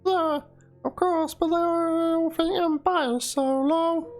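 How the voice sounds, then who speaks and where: A woman's voice: extremely high pitch, fairly even intonation, slow, a little mellow, soft background music, heavily acted. A woman reading the chat replies of a dismissive male streamer in a dramatic reading, saying chat slang aloud.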